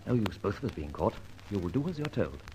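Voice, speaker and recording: An actor playing a dashing, flippant gentleman adventurer in an old-time radio detective drama, with a theatrical, wry and wordy manner. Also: fairly low pitch, big pitch swings, fast, noisy background. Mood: brisk, confident.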